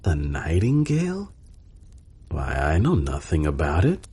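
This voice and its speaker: An imperious emperor from a storybook, read by a man, low and husky in voice. He speaks with pompous command and an air of indignation. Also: wildly swooping pitch, a little slow, dark tone, heavily acted. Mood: puzzled indignation. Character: imperious, indignant emperor of a fairy-tale court.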